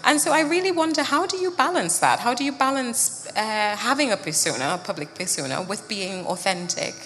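A woman's voice: mid-range voice, animated, fairly quick, a bit bright. A woman interviewing conversationally at a live on-stage event. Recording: reverberant live festival stage sound.

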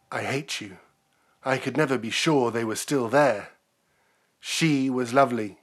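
A man's voice: mid-range voice, fairly bright tone. A man giving a solemn, dramatic reading of a poem. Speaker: poetry reader. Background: music under the reading.

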